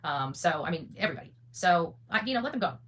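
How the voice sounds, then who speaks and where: A woman's voice: fairly low pitch, expressive, quick pace, fairly bright tone. A woman hosting an unscripted, opinionated monologue, blunt and defiant, often repeating phrases for emphasis and swearing freely. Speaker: online content creator and host.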